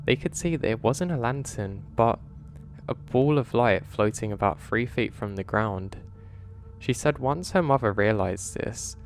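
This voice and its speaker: Low-voiced man narrating horror stories. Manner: calm, measured storytelling delivery. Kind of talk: scripted narration of submitted stories.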